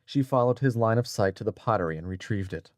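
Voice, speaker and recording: A man's voice: lowish voice, fast talker, dry recording, studio quality. A man narrating a dramatic audiobook from a script as a storyteller.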